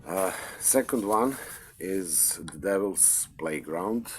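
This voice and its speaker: A man's voice: sits low, takes it slowly, slight background noise. A man from Serbia with a Serbian accent, a school librarian reading his own poems in a library, hesitant between the lines. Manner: hesitant with many uh fillers, then steady recitation.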